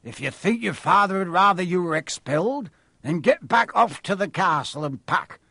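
A man's voice: a little high, lively intonation, a little slow, breathy edge, no room sound, clean studio sound, heavily acted. Storybook gamekeeper, acted by a male narrator: fierce, big-hearted, rustic dialect, growling delivery, no room sound. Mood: gruff, stern.

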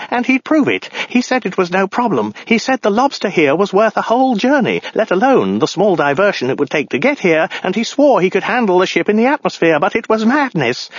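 Frantic babbling male castaway, very high and wildly swooping, for comic sci-fi storytelling. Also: dry recording, dull audio. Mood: agitated, ranting. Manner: hysterical, rambling, obsessive, babbling. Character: frantic babbling madman, lone crash survivor. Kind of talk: audiobook character voice in comic science fiction.

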